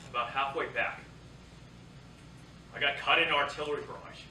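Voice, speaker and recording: A man's voice: mid-range voice, steady tone, moderate pace, sharp tone. A man playing a young soldier in love who writes home from war, in a staged reading. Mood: tense, vivid recounting. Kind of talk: staged play reading, letter monologue. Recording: echoey theatre, distant mic, noisy.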